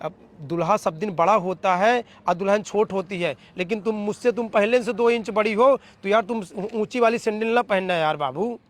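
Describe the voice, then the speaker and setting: A man playing an eager, insecure young bridegroom in a comedy phone-call skit, sweet-talking haltingly. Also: sits high, close to an everyday voice. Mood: fussy, pleading. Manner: hesitant, repetitive, coaxing.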